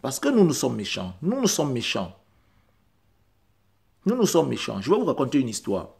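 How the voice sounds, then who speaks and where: A man's voice: medium pitch, wildly swooping pitch, moderate pace, vocal fry, a little mellow. A man whose first language is not French, talking straight to camera in an insistent, repetitive way and building to emphatic peaks. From Central Africa. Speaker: vlogger.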